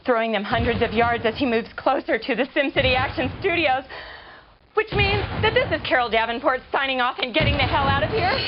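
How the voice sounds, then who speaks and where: An actress playing a polished, flippant American TV news anchor in a mock game newscast, with a deadpan delivery. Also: female voice, a little high, close to an everyday voice. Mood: flustered. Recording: dull lo-fi audio under music. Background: music.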